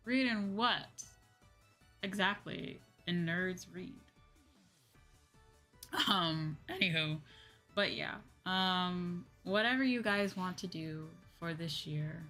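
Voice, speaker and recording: A woman's voice: on the low side, fairly bright tone. A slightly sleepy woman hosting a livestream, chatting casually and informally with her viewers. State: a little sleepy. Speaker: livestream host, VTuber.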